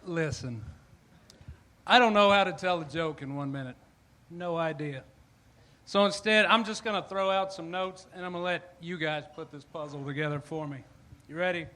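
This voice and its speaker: A man doing a short stand-up set of deadpan one-liners. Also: a little high, moderate pace, slight background noise. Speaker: open mic comedian.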